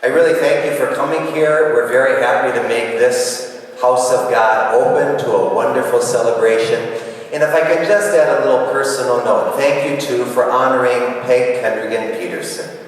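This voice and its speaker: A man's voice: mid-range voice, moderate pace. A man giving welcoming remarks as a clergyman hosting a gathering in his church. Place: large church hall. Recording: echoey hall, loud crowd and noise.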